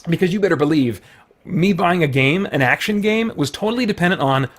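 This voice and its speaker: A middle-aged man with a slightly high voice chats casually to viewers. He is enthusiastic and rambles through loose asides as he goes. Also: animated, fairly quick. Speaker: video host.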